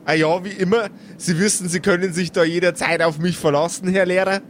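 A man's voice: high voice, fairly even intonation, brisk, slight background noise, natural voice. A man with a Bavarian accent voicing a wordy, cocky teenage schoolboy in an improvised radio play. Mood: smug, wordy. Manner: wordy, mock-polite, argumentative. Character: cocky, talkative well-bred ninth-grade schoolboy.

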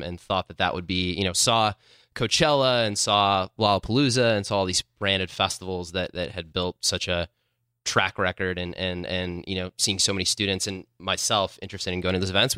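Deep, crisp-voiced man from Illinois. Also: pushed and bright, no echo, clean studio sound. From Illinois, USA. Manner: rambling, many fillers. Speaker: guest, company co-founder. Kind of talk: interview answers.

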